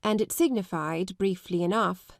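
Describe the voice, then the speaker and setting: A woman narrates classic fiction in an articulate, measured way. Her voice sits at a medium pitch, and the recording is dry, with no room sound. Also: creaky, no echo. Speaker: audiobook narrator. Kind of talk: scripted literary narration.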